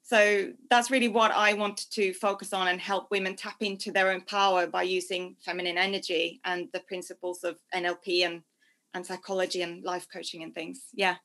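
A woman's voice: fairly low pitch, little pitch movement, moderate pace, fairly bright tone. A woman from Norway, answering interview questions conversationally as a guest life coach. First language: Norwegian.

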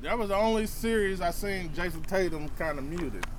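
A man co-hosting a podcast in relaxed, agreeable casual chat. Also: high voice, moderate pace. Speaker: podcast co-host. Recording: crowd noise behind.

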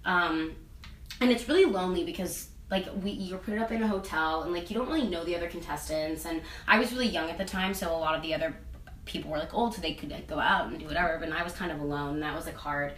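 Young woman, low-set voice, chatty and rambling interview answers; distant mic in a reverberant room. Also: quick pace, a little hiss or hum. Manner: rambling, heavy use of "like" and "um". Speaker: interviewee, singer and actress. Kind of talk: casual interview chat. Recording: echoey room, mic at a distance, faint ambience.

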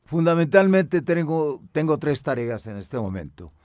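Older colombian guy talking in an interview, measured and articulate, really dry recording. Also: on the high side, very animated, darkish tone, dry recording. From Medellín, Colombia. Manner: measured, articulate, formal vocabulary. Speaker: cultural radio broadcaster and music researcher. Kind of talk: reflective interview answers.

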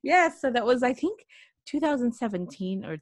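A woman's voice: on the high side, expressive, normal speed, no echo. A woman hosting a podcast interview, asking questions and affirming her guest with many yeses and mm-hmms. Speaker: podcast host. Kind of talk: casual interview chat.

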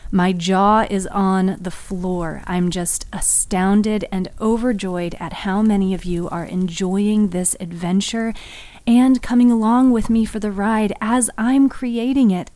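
A woman hosts and narrates a fiction podcast. She takes her time over each phrase in a scripted, dramatic storytelling style. The recording is close and dry, with a medium-pitched voice. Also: mellow, no echo. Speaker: writer, narrator and podcast host.

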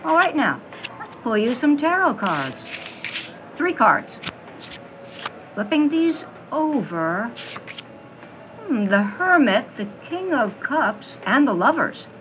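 A woman's voice: high voice, big pitch swings, takes it slowly, pauses often, lo-fi sound, noisy background, heavily acted. A woman playing a mysterious fortune teller in an audio drama, theatrical and knowing, with honeyed pet names. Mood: soothing, knowing.

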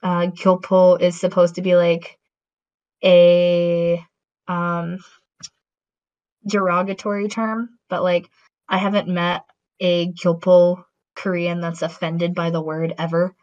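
A woman's voice: fairly low pitch, level tone, slow pace, darkish tone. A woman in casual conversation who rambles, with many fillers and restarts. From Latin American heritage. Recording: dull lo-fi audio.

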